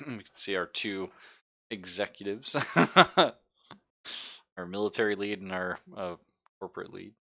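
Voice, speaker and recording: A man's voice: sits low, slow, vocal fry, pauses often, bright, forceful tone. A man casually chatting and narrating as game master of a live-streamed tabletop roleplaying game.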